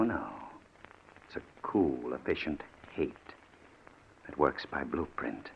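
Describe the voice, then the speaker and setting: An actor in an old radio suspense play playing a bitter, vengeful ex-convict, speaking with sardonic, deliberate menace. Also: male voice, a bass voice, slight background noise, full character voice. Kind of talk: 1940s radio suspense acting. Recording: muffled old radio recording.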